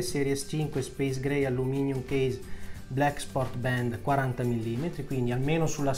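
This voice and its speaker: Italian man chatting through an unboxing. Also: medium pitch. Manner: chatty, addresses viewers directly, frequent fillers. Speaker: tech youtuber. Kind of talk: casual unboxing narration.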